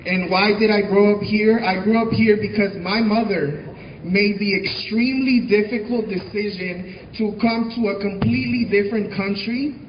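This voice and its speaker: Young man from Mexico, high voice, impassioned rally speech, loudspeaker echo, dull live-stream sound. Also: normal speed, a little hiss or hum. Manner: impassioned, emphatic repetition. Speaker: protest speaker. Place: outdoor protest rally.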